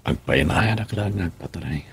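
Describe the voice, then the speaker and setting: An actor playing an irritated, brooding husband in an Ilocano radio drama, with an Ilocano accent. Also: male voice, low-pitched, expressive, brisk, vocal fry, fairly bright tone, a little hiss or hum, full character voice.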